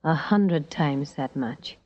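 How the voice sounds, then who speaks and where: Cool, teasing secretary, dull vintage sound. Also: female voice, low-pitched, slow pace, darkish tone. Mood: cool, probing. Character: vivacious, teasing, guarded young secretary with a secret. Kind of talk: old-time radio drama acting. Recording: dull vintage radio recording.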